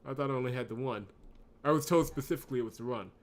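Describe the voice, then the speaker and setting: A man's voice: medium pitch, rapid-fire. A man hosting a gaming video, commentating on his visual novel playthrough.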